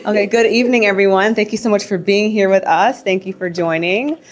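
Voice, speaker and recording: A woman's voice: mid-range voice, a little fast. A young adult woman, a first-generation Ghanaian raised in the United States, moderating a panel event in an upbeat, welcoming way.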